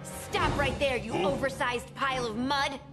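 A woman's voice: high-pitched, a little slow, loud music behind the voice. An actress playing a bold, defiant young female heroine in a video game. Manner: defiant, bright.

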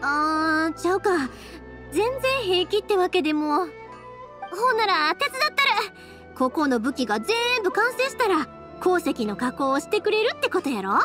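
An actress playing a cheerful, big-hearted young fireworks maker in an anime game, speaking with a Kansai accent. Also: female voice, very high voice, unhurried, music in the background, exaggerated voice. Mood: eager. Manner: lively, friendly, expressive dialect talk. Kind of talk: Japanese anime game voice acting.

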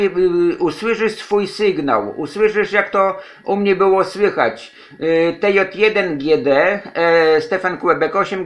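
A man with a high, forceful voice speaks as an amateur radio operator in a casual contact. He repeats phrases for the other station as he goes. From Poland. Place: indoors, radio desk in a flat.

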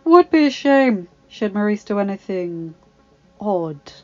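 A menacing, hulking young man from a storybook, acted by a woman narrator. He is smug and rough-voiced, drawing his words out to taunt. The audio is a little muffled, with music underneath. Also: medium pitch, dry recording, natural voice. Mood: smug menace. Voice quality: rough, rasping. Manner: slow, drawn-out, taunting. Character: menacing, huge twenty-year-old man, smug village bully.

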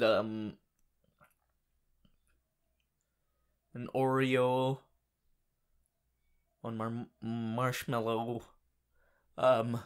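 A man's voice: mid-range voice, clear, resonant tone, natural voice. A man voicing a goofy, whiny teenage anime boy, a clingy boyfriend rambling hesitantly in an audio roleplay. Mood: whiny, drawling. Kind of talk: improvised audio roleplay addressed to the listener.

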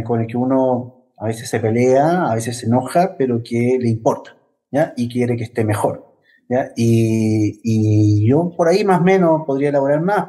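A middle-aged man speaks in a mellow, relaxed voice at an ordinary pitch. He thinks as he goes, halting and tagging his phrases with little checks for agreement, like an academic guest being interviewed on a video call. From Venezuela and Chile. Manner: reflective, halting, often adds "¿ya?" tags. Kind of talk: conversational interview answers. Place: plain office, indoors. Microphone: wired earphones on a video call.

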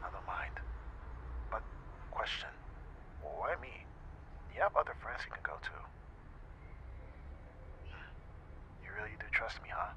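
A man acts out an easygoing young guy in an intimate audio roleplay. His voice sits fairly low, and he chats casually and teasingly, like a devoted best friend up late. Faint background noise runs under the voice. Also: sing-song, moderate pace, pushed and bright, full character voice, rough recording. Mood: easygoing, caring. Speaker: ASMR roleplay voice actor. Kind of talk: ASMR boyfriend roleplay, casual intimate chat.

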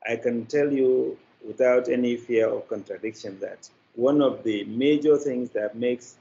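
An older man with a Nigerian accent, answering as an interview guest in a deliberate, halting, formal way over a muffled video call. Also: mid-range voice, gravelly, dark tone. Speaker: interview guest, media company representative. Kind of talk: considered interview talk. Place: indoor room, on a video call.

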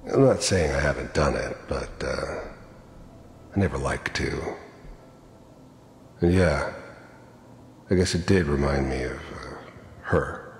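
An actor playing a cold-hearted, world-weary middle-aged mercenary in a video game, gravelly, laconic and matter-of-fact. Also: male voice, a bass voice, slow, long pauses, heavily acted. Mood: reflective. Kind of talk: video game character monologue and dialogue. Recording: echoey reverberant hall, faint noise.

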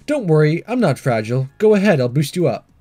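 A man's voice: a little high, very animated, brisk, dark tone, soft background music. A man voicing a confident, casual teenage boy disguised as a schoolgirl, narrating a visual novel. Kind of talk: first-person visual novel narration and dialogue.

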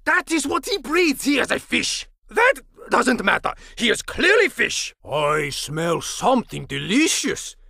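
Vengeful mad chef for a comedy game: high, piercing and sharp, gravelly edges, menacing rants in broken English, big theatrical delivery. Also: male voice, very animated, measured pace, hardly any pauses, no echo, clean studio sound, full character voice. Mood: frantic, indignant. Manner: melodramatic, ranting, menacing, broken clipped English. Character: crazed, vengeful chef obsessed with exposing an octopus. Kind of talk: over-the-top comedic video game villain acting.

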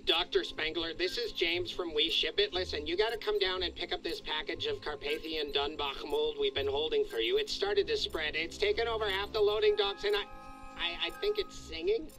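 An actor playing a frantic, alarmed male shipping clerk leaving a rushed phone message in a video game. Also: a little high, pushed and bright, faint music behind, low-quality recording. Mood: alarmed, urgent.

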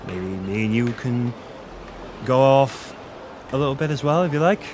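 Clear outdoor village ambience sits behind the voice of a friendly, flirty Irish innkeeper acted by a man in a fantasy audio roleplay. He has a mid-pitched male voice with an Irish accent. He talks informally and confidingly, as if chatting one to one with a guest. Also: animated, moderate pace, a little mellow, a little hiss or hum, natural voice. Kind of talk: conversational romantic audio roleplay acting. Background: village ambience with distant chickens.

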